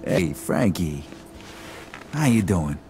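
An actor playing a streetwise, cocky young inmate, in a video game cutscene. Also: male voice, low-pitched, big pitch swings, slow pace, heavily acted. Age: young adult. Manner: streetwise, tough, profane. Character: young male Italian-American inmate, tough and cocky. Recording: music under the voice.

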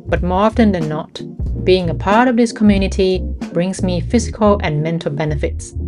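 Low-voiced woman narrating over music. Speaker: fitness vlogger.

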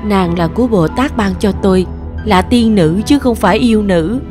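A woman playing a naive, devout young rich gentleman, earnest and indignant, in an animated folk tale. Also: mid-range voice, moderate pace, over music, lightly acted.

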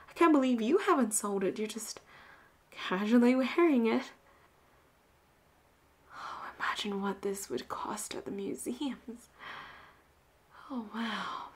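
A woman playing a flirty, possessive pirate captain in a roleplay audio drama, with a breathy voice that often drops into whispers and teasing endearments. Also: mid-range voice. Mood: amazed.

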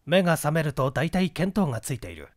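A man reading literary fiction aloud as an audiobook narrator, in an expressive, dramatic storytelling manner. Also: a little high, brisk, dry recording, clean studio sound.

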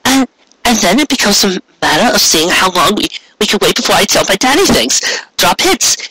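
A storyteller voicing a distressed, devoted young female pony who pleads in halting, stammering phrases. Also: medium pitch, very animated, pushed and bright, slightly rough, no room sound, pitched above the performer's own voice, exaggerated voice, rough recording. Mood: anxious, hopeful.

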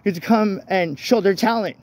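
High-voiced man chatting outdoors. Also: darkish tone. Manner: informal, halting, repeats phrases. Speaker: vlogger. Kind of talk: casual rambling walk-and-talk chat. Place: outdoors walking along a street at night. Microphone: handheld phone. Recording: noisy outdoor phone, traffic ambience. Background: vehicles and street ambience.

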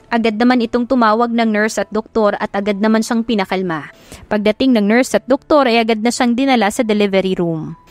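A woman's voice: a little high, dry recording. A woman with a Filipino accent giving a scripted, dramatic narration of a romance story. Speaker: audiobook narrator. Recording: music behind the voice, dry studio sound. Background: background music.

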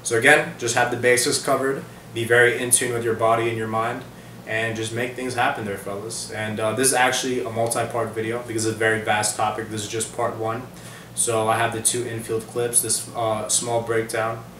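A man's voice: mid-range voice, brisk, a little hiss or hum. A young man in his twenties giving casual, rambling dating advice to camera from his living-room couch. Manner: relaxed, rambling, many fillers. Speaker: dating coach vlogger. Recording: roomy echo from far off the mic.

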